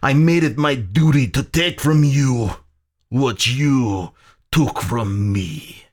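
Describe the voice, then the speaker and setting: Man acting an oily, gloating general, slow and menacing. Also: mid-range voice, darkish tone, pitched above the performer's own voice. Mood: vengeful, gloating. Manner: sultry, theatrical, deliberate.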